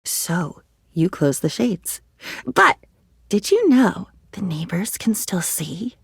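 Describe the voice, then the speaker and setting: A woman's voice: lowish voice, sing-song, slow, gravelly, husky, no echo, studio quality, heavily acted. An unstable, wildly swinging female living diary. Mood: scattered, brooding. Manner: rambling, stammering, erratic, swinging between whispers and cries. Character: unstable, rambling, anxious living diary, a woman. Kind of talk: theatrical video game character monologue.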